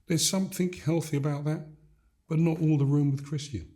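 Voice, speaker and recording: Measured older man, warm low voice. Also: steady tone. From Gambia. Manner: measured, emphatic, builds points in repeated phrases. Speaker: guest, leadership coach. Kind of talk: reflective interview conversation.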